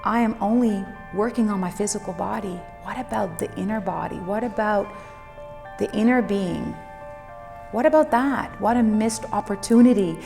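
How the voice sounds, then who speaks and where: A woman in her forties shares a reflective personal story. Her voice has a noticeable fry and she speaks without hurry, leaning on key words. It rings with long reverb, and music plays clearly underneath. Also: medium pitch, moderate pace, darkish tone. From Netherlands. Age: 40s. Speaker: fitness instructor and speaker.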